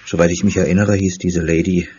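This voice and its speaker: An actor playing a dry, matter-of-fact chief police inspector in a German audio drama. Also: male voice, low-pitched, flat delivery, fairly quick, darkish tone, lightly acted. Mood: wry, grim. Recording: dull sound with clear background noise.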